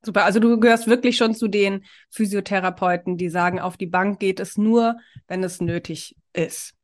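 Woman, podcast host, upbeat and chatty, encouraging, medium pitch. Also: steady tone, normal speed. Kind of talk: casual podcast interview.

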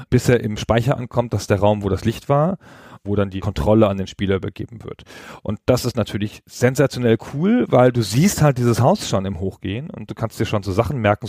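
A man's voice: on the low side, a little fast, vocal fry, darkish tone, no room sound, clean studio sound. A man hosting a podcast, explaining video games in a casual, colloquial, conversational way. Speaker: podcast host. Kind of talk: casual video game discussion.

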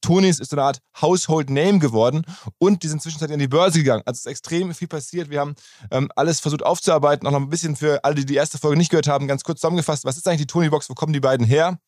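A man's voice: on the high side, rapid-fire, a little mellow, dry recording, studio quality. A man hosting a podcast, speaking in a chatty, informal way. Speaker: podcast host. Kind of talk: casual podcast talk and interview, with host-read ad.

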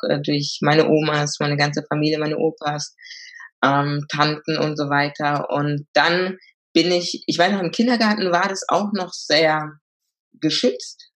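A woman's voice: deep voice, fairly bright tone. A woman, telling her story as a podcast guest, hesitant, with many fillers. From Germany. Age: 20s. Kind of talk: casual interview talk.

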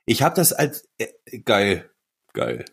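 Enthusiastic podcast guy, husky voice, chatting real casual with his buddies. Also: on the low side, huge pitch swings, slow. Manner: colloquial, enthusiastic. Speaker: podcast co-host. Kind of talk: casual chat among friends.

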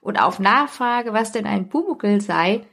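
A woman with a husky voice of medium pitch co-hosts a podcast. Her delivery is casual and conversational. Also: lively intonation, a little slow, fairly bright tone. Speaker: podcast co-host.

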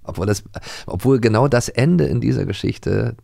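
A man's voice: medium pitch, lively intonation, normal speed, creaky, dark tone, no echo. A man co-hosting a magazine about radio plays in a casual, colloquial and playful way, close on the mic. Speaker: radio drama magazine co-host. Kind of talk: casual conversational review.

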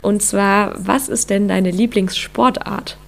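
A woman hosting a podcast, reading an intro and then chatting with a guest, her voice hoarse from a cold. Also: medium pitch, takes it slowly. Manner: upbeat, with many fillers. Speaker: podcast host. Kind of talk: interview podcast, scripted intro then chat.

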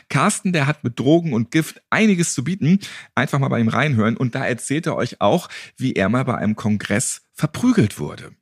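A man's voice: fairly high pitch, slightly rough, no echo, studio quality. A man hosting a podcast in a casual, joking and colloquial way. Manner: flippant, colloquial. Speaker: podcast host.

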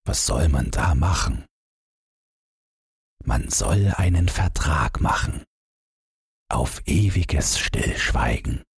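Very deep, husky male storyteller. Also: lively intonation, no room sound, studio quality. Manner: measured, dramatic storytelling. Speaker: audiobook narrator. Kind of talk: scripted literary narration of a Christmas story.

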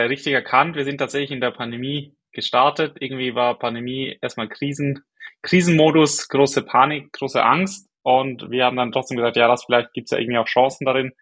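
A man's voice: mid-range voice, fairly bright tone. A man from Switzerland with a Swiss German accent, a startup founder answering interview questions and thinking aloud as he goes. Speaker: guest, startup co-founder and CEO.

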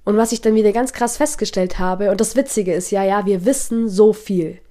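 A woman's voice: medium pitch, normal speed, mellow. A young woman hosting a podcast, talking reflectively while she has a cold that makes her voice a little nasal, with plenty of fillers. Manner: thoughtful, reflective, with frequent fillers. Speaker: podcast host. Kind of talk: casual spoken podcast monologue.

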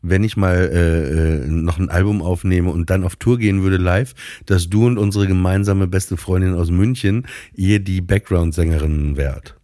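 A man with a deep voice talks as a podcast co-host. He rambles casually, stumbling and restarting as he goes. The recording is close and dry, without room sound. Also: mellow, dry recording. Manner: halting, stumbling, self-interrupting.

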